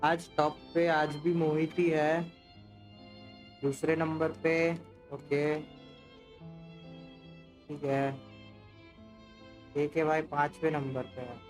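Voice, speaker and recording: Male streamer, Indian accent, casual self-talk during gameplay, rapid-fire, music behind. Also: a little high, level tone. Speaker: game streamer. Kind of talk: casual live gameplay chat and reading aloud.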